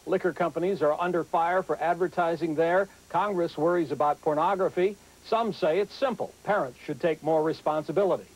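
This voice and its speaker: A man's voice: a little high, fairly quick, few pauses, rough recording. A man with an American accent narrating a scripted television news report as a correspondent.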